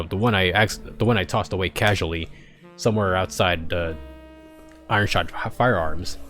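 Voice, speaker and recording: A man with a fairly low voice reads a story aloud like an amateur narrator, with casual delivery. He now and then trips on a phrase and repeats it. Soft guitar music plays behind him. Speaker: reader of fan fiction, channel host. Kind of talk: expressive amateur story reading with casual asides.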